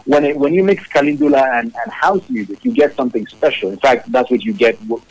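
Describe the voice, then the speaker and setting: A man in his thirties from Zambia, a musician speaking from his own studio in a casual, measured interview, often repeating words as he thinks. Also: medium pitch, big pitch swings, husky, low-quality recording. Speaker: musician guest.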